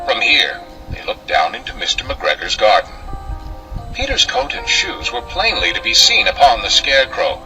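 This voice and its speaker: A man narrating a children's storybook as an expressive storyteller. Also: mid-range voice, distant mic, low-quality recording. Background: music.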